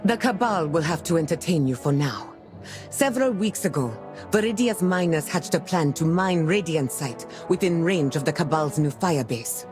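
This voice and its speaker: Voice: medium pitch, animated, normal speed, natural voice. Actor doing a stern envoy fighter from a game cutscene, curt n formal, music playing behind. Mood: brisk, curt. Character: stern, proud loyal envoy warrior serving a lord. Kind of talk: dramatic video game cutscene acting.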